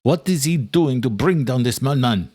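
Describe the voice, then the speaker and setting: A man's voice: mid-range voice, animated, moderate pace, darkish tone, no room sound, clean studio sound, pitched above the performer's own voice, natural voice. An angry vampire duke, acted by a male narrator challenges those above him. He sounds formal, blunt and accusing, in a dramatic audiobook style.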